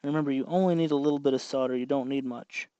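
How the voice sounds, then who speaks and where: A man's voice: mid-range voice, steady tone, fast, dark tone, smooth, no echo, dull audio. Man giving a dry-sounding hands-on tutorial. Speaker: host of a DIY electronics tutorial. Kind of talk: hands-on tutorial, talking while working.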